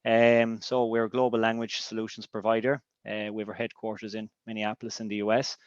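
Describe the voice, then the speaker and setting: A low-voiced Irish man presenting in a webinar, his delivery flat and even, often pausing on ums and restarting phrases. He is a native Irish speaker, and his voice comes through dry and a little dull, as on lo-fi audio. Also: dry recording. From Ireland. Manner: hesitant, many ums and restarts. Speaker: business intelligence director.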